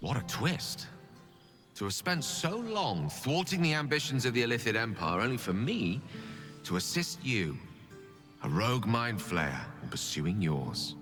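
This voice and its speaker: An actor playing a proud, newly ascended wizard god of ambition, pompous and eloquent, in a dramatic video game. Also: male voice, mid-range voice, very animated, unhurried, a bit bright, in a large hall, faint music behind. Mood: smug, musing.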